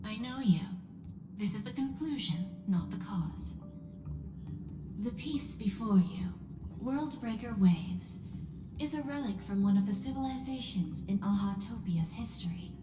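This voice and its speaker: A woman playing a poised, enigmatic female executive in a video game, elegant, deliberate and knowingly probing. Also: medium pitch, lively intonation, lightly acted. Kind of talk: scripted video game voice acting. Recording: echoey room, music behind.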